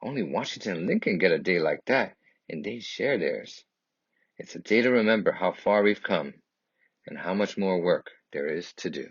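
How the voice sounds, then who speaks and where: A man reads a children's picture book aloud in a husky voice, with expressive, emphatic and dramatic phrasing. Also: sits low, normal speed. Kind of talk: expressive read-aloud storytelling. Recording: dull, lo-fi, echoey room.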